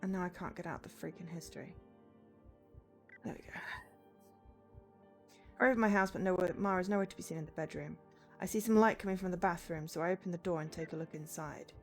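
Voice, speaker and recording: A woman's voice: fairly low pitch, fast talker, pauses often, faint music behind. A woman game streamer chatting live and reading game text aloud, breaking off for exasperated asides.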